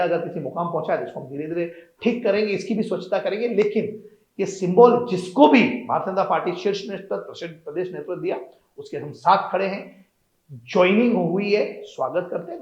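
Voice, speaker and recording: A man's voice: high voice, echoey room, lo-fi sound. A man giving emphatic, declamatory interview answers as a political hopeful. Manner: emphatic, declamatory, repeats phrases. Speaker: political aspirant guest.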